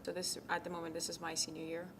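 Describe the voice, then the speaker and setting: Young woman from Ghana, college athlete, low even voice, soft-spoken with lots of ums, noisy background. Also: fast talker. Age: young adult. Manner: soft-spoken, many ums, drops to near whispers. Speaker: college tennis captain. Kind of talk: casual interview answers.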